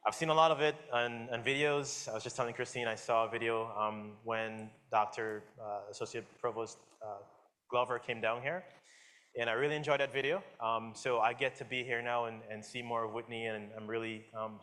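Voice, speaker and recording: A man's voice: medium pitch, fairly quick, a bit bright. Man with a slight Caribbean accent. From Guyana, raised in Jamaica. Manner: casual, friendly, asks audience questions, many fillers and restarts. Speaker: guest lecturer, professor. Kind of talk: public science talk.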